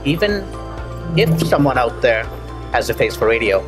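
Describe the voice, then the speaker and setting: A man's voice: mid-range voice, sing-song, normal speed. A man interviewing a guest in a casual chat, talking in a chatty, effusive and jokey way. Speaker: interviewer and marketer. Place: indoors on a couch. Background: background music.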